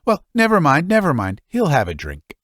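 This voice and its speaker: Man putting on a snappy coarse lady, mincing drawl, raised pitch, dry read. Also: huge pitch swings, moderate pace, fairly bright tone, no echo, full character voice. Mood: grumbling. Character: coarse, sharp-tongued middle-aged woman.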